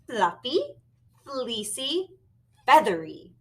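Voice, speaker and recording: A woman reading a children's story aloud expressively and pausing to explain words like a teacher. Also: fairly high pitch, sing-song, a bit bright, breathy edge. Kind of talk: animated children's read-aloud with word lessons.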